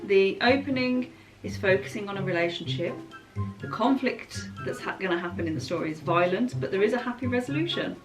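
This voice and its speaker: A woman's voice: mid-range voice, lively intonation, fairly quick, poor audio. A young woman hosting a vlog in casual chat, with a playful, giggly way of talking. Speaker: vlog host. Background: music.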